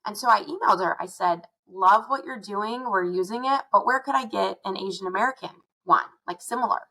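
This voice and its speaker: A woman's voice: mid-range voice, fairly quick, pushed and bright, low-quality recording. A middle-aged woman from Arizona, a guest chatting casually and telling stories, often quoting her own thoughts. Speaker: guest, curriculum founder. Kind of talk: casual interview chat.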